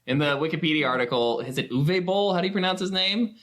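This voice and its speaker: A man's voice: on the high side, animated, fast, a bit bright. Casual man explaining things in tangents. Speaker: podcast guest. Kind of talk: casual discussion.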